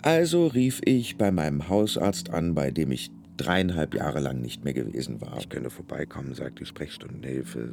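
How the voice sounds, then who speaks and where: A man's voice: rumbling low, creaky, a little mellow, slight background noise, exaggerated voice. Hesitant, nerdy male patient. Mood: matter-of-fact, subdued. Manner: hesitant, halting, nerdy when explaining. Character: shy, awkward male hospital patient, chatty music and TV enthusiast. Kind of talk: naturalistic radio play dialogue and first-person narration.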